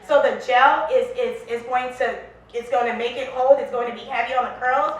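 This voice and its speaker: A woman's voice: sits high, normal speed, very echoey, far from the mic, slight background noise, low-quality recording. A woman from Liberia, a brand founder, talking casually and animatedly about her hair products. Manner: chatty, animated, with restarts and quoted asides. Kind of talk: casual interview chat.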